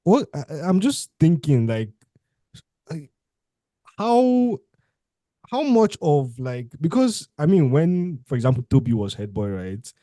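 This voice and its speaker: Young guy hosting a podcast, mellow voice, hesitant rambling questions, dry close sound. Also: fairly high pitch, sing-song, unhurried, pauses often, dry recording. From Nigeria. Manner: hesitant, circling questions full of "like". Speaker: podcast host. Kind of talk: casual conversational interview.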